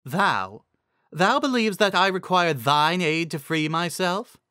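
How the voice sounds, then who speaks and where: A man acts out a haughty alicorn queen from a fantasy storybook. The voice is lifted and bright, with grandiose, old-fashioned phrasing and a commanding, easily angered temper. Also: high-pitched, expressive, slow, smooth, no room sound, studio quality, pitched above the performer's own voice, heavily acted. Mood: wrathful. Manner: grandiose, archaic, commanding speech. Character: imperious, wrathful alicorn queen of the sun, haughty and archaic.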